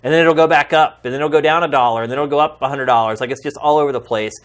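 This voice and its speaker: A man's voice: on the high side, rapid-fire. A man hosting a games podcast in a studio, talking in a heartfelt, pleading, conversational way. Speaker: podcast host and games journalist. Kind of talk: earnest podcast sign-off.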